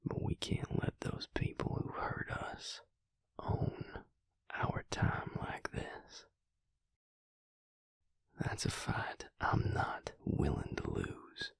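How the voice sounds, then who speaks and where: Audio roleplay vampire mate, played by a man: weary, tender, reassuring, half-whispered and gravelly, in a very dry, close sound. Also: no room sound. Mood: pained, resolute. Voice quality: breathy, gravelly low voice. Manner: hushed, slow, deliberate, reassuring. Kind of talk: intimate audio roleplay monologue.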